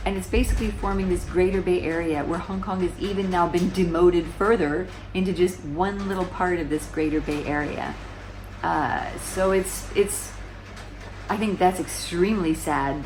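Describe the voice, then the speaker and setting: Low-voiced woman vlogger chatting. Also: a little mellow, slight background noise, poor audio. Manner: conversational, many restarts, rhetorical questions. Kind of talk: casual explainer monologue. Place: small room indoors, seated on a sofa.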